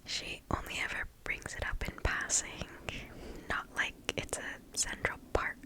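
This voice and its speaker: A woman whispering softly and close to the microphone, reading stories aloud in an ASMR style with hushed, deliberate phrasing and pauses. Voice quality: soft unvoiced whisper.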